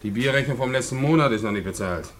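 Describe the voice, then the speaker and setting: A man's voice: medium pitch, quick pace, fairly bright tone, a little hiss or hum, close to an everyday voice. An actor playing a brusque middle-aged Berlin tavern keeper and father in a German radio drama, speaking in blunt short commands. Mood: gruff, practical. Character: gruff working-class Berlin tavern keeper, father, communist.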